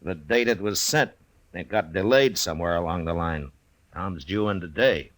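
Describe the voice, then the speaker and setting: An actor playing a weary, watchful Old West lawman in a 1950s radio western, plain-spoken and measured, with an American accent. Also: sits low, close to an everyday voice. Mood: dry, brisk. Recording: old radio recording with music.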